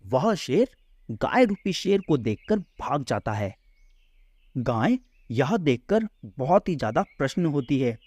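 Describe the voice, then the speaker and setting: Male narrator for kids' moral tales: deliberate, unhurried, expressive, very dry studio-like sound. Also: medium pitch, no echo. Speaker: storyteller. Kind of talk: scripted children's story narration.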